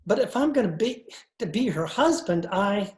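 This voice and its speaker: An actor playing a cultured, nervous judge and pool hustler, speaking in a fussy, theatrical old-time radio style. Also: male voice, high-pitched, lively intonation, moderate pace, darkish tone. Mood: pleading, wistful. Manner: pompous, fussy, theatrical speech. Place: indoor room, recorded over video call. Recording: roomy, echoing video-call recording.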